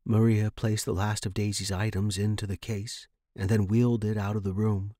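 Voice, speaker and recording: A man's voice: lowish voice, flat delivery, moderate pace, warm tone, dry recording, clean studio sound. A man reading scripted horror fiction as the narrator.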